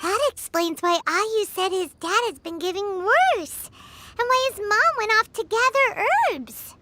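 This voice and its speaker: A woman voicing a tiny, excitable, childlike girl companion in a video game with a squeaky voice. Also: measured pace. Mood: surprised, worried. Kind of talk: scripted video game voice acting. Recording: music behind the voice. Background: background music.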